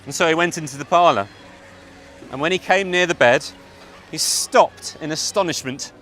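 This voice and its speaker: A man narrating a fairytale live to children, theatrical and deliberate, with dramatic pauses. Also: a little high, fairly bright tone, slight background noise. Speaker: storyteller narrator. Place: outdoor public event.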